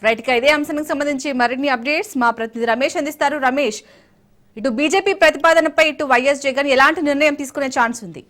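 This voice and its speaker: A woman's voice: sits high, quick pace, fairly bright tone. A woman news anchor south-east India, reading scripted breaking news.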